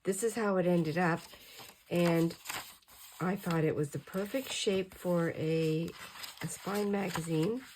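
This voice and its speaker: A middle-aged woman with a hoarse voice chatting in a relaxed, rambling way as she crafts at her table late at night. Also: fairly low pitch, slow pace, noisy background. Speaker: crafting channel host. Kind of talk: casual crafting chat.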